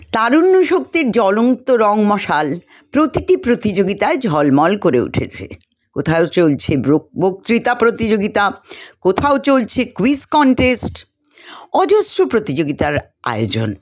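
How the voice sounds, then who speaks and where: A woman reading a short story aloud in an expressive, deliberate storytelling manner. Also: mid-range voice. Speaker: story reader. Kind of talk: scripted narration of a short story, dramatized dialogue.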